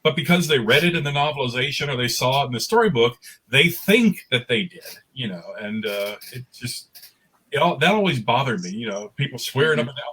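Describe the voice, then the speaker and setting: Casting: middle-aged man, husky mid-range voice, casual anecdotal panelist. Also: medium pitch, animated, brisk, pushed and bright, poor audio. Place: remote online panel recorded at home.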